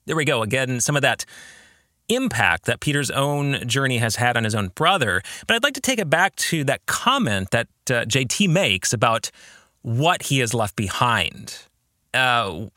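American male podcast host, reflective and deliberate, animated pitch, dry studio-like sound. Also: no room sound. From American Midwest. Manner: deliberate phrasing, posing questions to listeners. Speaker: podcast host, author and pastor. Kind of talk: reflective book discussion podcast.

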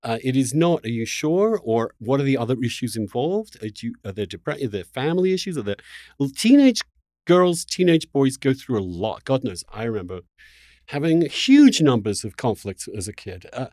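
A male interview host in the thick of a debate. His pitch moves around a great deal as he talks. He is insistent but halting, repeating words as he builds his point, and the sound is very dry. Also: sing-song, darkish tone, no echo.

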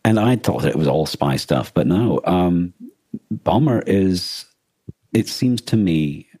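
A man co-hosting a podcast, discussing books casually in a measured, considered and slightly tentative way. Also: low voice, lively intonation, warm tone, husky, no echo. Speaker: podcast co-host.

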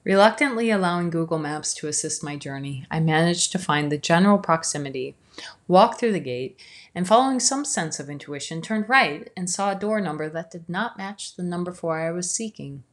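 Thoughtful woman, low-toned reflective narration. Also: animated. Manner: measured, thoughtful, some words drawn out slowly. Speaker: video essayist.

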